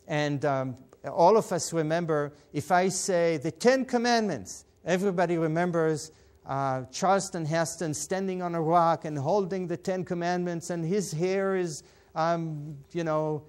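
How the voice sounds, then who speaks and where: A middle-aged man with an Israeli accent, a native Hebrew speaker, teaches scripture on a studio TV program. His voice sits a little high, and he talks with lively gestures and frequent filler sounds. He sounds close to a lapel mic in a quiet studio. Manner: animated, gesturing, with many fillers. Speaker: Bible teacher and program host. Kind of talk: televised Bible lesson. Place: indoor TV studio set.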